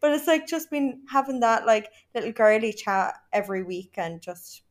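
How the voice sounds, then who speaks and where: Young woman, Irish accent, a little high, gushing casual chat, lots of "like". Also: animated, normal speed. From Ireland. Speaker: podcast guest, influencer.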